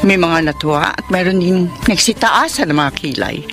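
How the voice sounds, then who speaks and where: A middle-aged Filipina career woman in love, in a radio drama. Her delivery is sentimental and dramatic, with lively swings in pitch over background music. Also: normal speed. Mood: reflective, defiantly happy. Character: smitten older career woman, a film producer, telling her love story. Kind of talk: Filipino radio drama acting and letter narration.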